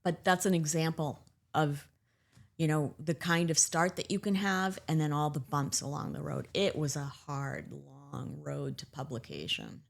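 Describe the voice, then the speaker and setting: A woman's voice: sits low, a little slow, gravelly. A woman author with a New England accent, chatting candidly as an interview guest with self-deprecating humor. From Cuba. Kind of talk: casual interview chat.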